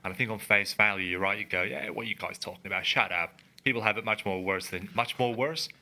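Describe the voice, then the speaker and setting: A man co-hosts a relaxed studio podcast, speaking in a low-pitched but very bright, forceful voice. He is earnest and emphatic and works his thoughts out aloud as he goes. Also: fast. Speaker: podcast co-host. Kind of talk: casual conversational podcast. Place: studio in a building. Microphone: studio microphone.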